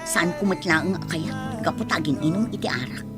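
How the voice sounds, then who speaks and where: A woman's voice: on the low side, lively intonation, normal speed, close to an everyday voice. A woman acting a guarded, hesitant young woman being courted in a radio drama. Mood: doubtful. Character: guarded young woman, courted but wary of drinking men. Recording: music under the voice.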